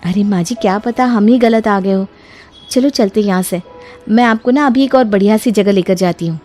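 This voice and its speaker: A woman's voice: mid-range voice, moderate pace, warm tone, natural voice. A woman voicing a clever young daughter-in-law in a cartoon moral story, sweetly persuasive and emphatic. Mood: bright, urging. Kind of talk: animated Hindi moral story dialogue. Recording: music behind the voice.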